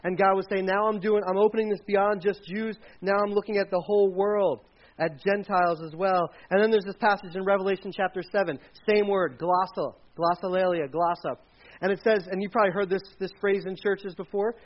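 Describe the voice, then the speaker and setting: High-voiced man preaching conversationally. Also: fairly even intonation, fairly quick, highs cut off. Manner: conversational, retells scripture with acted quotes and restarts. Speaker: pastor. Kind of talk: sermon with slides.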